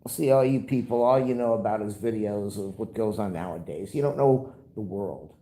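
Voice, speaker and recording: A man's voice: on the low side, normal speed, mellow, low-quality recording. A man with a nasal, stuffed-up voice, rambling and grumbling through a casual livestream. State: stuffed-up nose. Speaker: livestream host.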